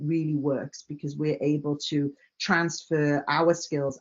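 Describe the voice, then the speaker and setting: A woman's voice: deep voice, highs cut off. A Welsh woman giving a conference presentation, friendly and earnest, with frequent "uh" fillers. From Wales. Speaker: company director.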